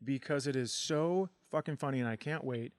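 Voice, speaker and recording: A man hosting a podcast with animated, casual comedic chat and occasional swearing. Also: mid-range voice, normal speed, no echo. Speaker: podcast host.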